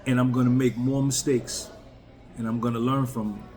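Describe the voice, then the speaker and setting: A man's voice: mid-range voice, steady tone, normal speed, darkish tone. Blunt middle-aged man talking straight. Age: 50s. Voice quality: blunt, candid, emphatic. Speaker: YouTuber. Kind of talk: casual talk to camera.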